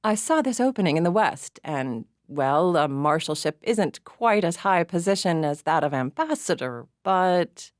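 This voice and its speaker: A composed young gentleman, calm and lightly wry, never raising his voice, as a female storyteller reads him. The tone stays low and even, close to the mic in a dry, deadened space. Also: normal speed, few pauses, dry recording, natural voice. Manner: calm, light, understated delivery. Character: composed young man, wry and smooth-mannered.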